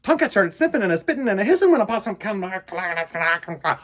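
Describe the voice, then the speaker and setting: A man hosting a children's storytime, chatting to young listeners and reading aloud in a playful, animated way. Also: high-pitched, fast.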